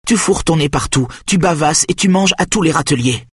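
A man's voice: medium pitch, lively intonation, moderate pace, slightly rough, no echo, clean studio sound, pitched above the performer's own voice. A man voicing a cruel, weary, domineering woman who demands family obedience, with a stern, accusing manner in an audiobook. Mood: furious, accusing. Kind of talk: audiobook character acting.

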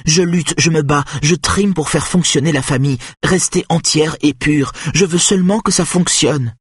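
A stern, cruel matriarch in an audiobook, acted out by a man. The voice is raised in pitch and very husky, commanding and accusing, worn down yet fiercely set on obedience. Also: lively intonation, moderate pace, no echo, clean studio sound. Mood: furious, accusing. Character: cruel, domineering, exhausted matriarch obsessed with family obedience. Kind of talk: audiobook character acting.

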